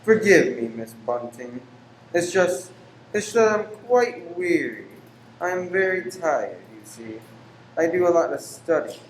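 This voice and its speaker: Man acting weary, pious gentleman lodger, roomy echo. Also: on the high side, sing-song, takes it slowly. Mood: apologetic, weary. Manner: formal, exacting, abrupt then apologetic. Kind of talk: radio play acting. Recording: roomy echo with faint background noise.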